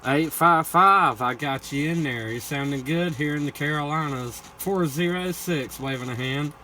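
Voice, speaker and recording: Loud static surrounds an American man at a CB radio. His voice sits a little high. He gives repetitive, formulaic call-outs in operator jargon. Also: moderate pace. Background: loud radio static and noise.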